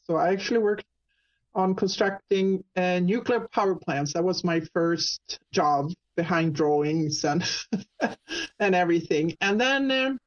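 A woman from Sweden with a Swedish accent, a guest telling her career story in a talk show interview, pausing often with ums and restarts. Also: on the low side, highs cut off. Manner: halting, many ums and restarts. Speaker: guest, marketing business owner.

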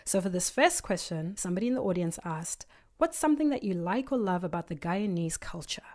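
A woman's voice: lowish voice, expressive, a little fast, a little mellow. A woman podcast host giving an informal narrated aside to listeners.

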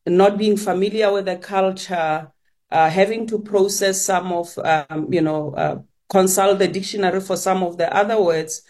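Older lady, sesotho speaker, real slow, lots of ums. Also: lowish voice, a little mellow. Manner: slow, hesitant, many fillers. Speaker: linguistics professor, guest. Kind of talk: radio interview, phone-in guest.

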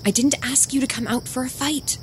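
Woman acting anguished young ex-girlfriend, audio drama, higher-pitched, hushed and halting, noisy cricket-filled ambience. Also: close to an everyday voice. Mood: defensive. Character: anguished, pleading young ex-girlfriend, secretive and fragile. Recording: noisy, night insect ambience behind.

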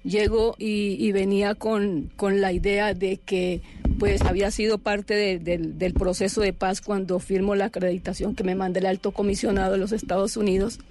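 A middle-aged woman from Colombia, telling her life story in an interview, halting and restarting often, sitting back from the microphone. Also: mid-range voice, level tone, noisy background. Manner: halting, with many restarts and fillers. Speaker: interview guest. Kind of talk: personal testimony in an interview. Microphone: sitting too far from the microphone.

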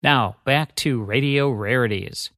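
A man's voice: mid-range voice, unhurried, a bit bright. A man reading scripted promotional narration as a radio host. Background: music.

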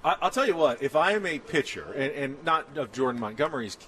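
A man's voice: fairly high pitch, expressive, a little fast, a bit bright, slight background noise. Male sports radio host, conversational. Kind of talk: casual sports talk radio. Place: outdoor patio at a ballpark complex.

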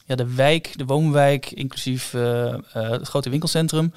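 A man's voice: medium pitch, fairly even intonation, moderate pace, a little mellow, smooth, dry recording, clean studio sound. A man co-hosting a casual podcast, searching for his words as he explains. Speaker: podcast co-host.

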